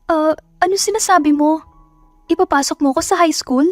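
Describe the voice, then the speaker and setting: A woman's voice: high-pitched, normal speed, no echo, soft background music, pitched above the performer's own voice, lightly acted. A woman voicing a sweet, naive young provincial woman in a romance audio drama, earnest, timid and full of questions. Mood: nervous, touched.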